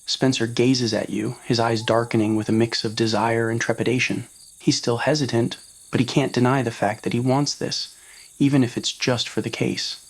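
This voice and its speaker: A man's voice: medium pitch, little pitch movement, normal speed, breathy edge, poor audio. A man reading a scripted romantic roleplay story as narrator, in an ASMR audio style.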